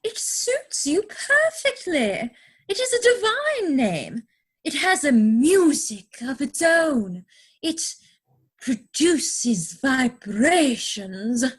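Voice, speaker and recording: An adoring, willful young lady of high society in a period stage comedy. Her voice sits very high and swoops dramatically, and she draws her words out slowly with grand affectation. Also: sing-song, full character voice. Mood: adoring, rapturous. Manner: affected, gushing, grandly drawn-out delivery. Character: romantic, willful upper-class young English lady, theatrically adoring.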